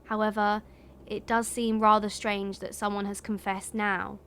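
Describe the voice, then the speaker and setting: Woman acting young detective, mid-pitched voice. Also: little pitch movement, a little slow, fairly bright tone, smooth. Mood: puzzled, pondering. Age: young adult. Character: young female police detective, puzzled and analytical. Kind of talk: first-person audio drama monologue.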